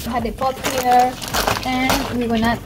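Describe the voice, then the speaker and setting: A woman in her forties with a Colombian Spanish accent, talking slowly and haltingly through a recipe in her home kitchen, filmed on a phone held at arm's length. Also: high voice, flat delivery, heavy background noise. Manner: halting, explaining step by step with fillers. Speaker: vlogger. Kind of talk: casual cooking vlog. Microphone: phone camera at arm's length. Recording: music playing over the talk.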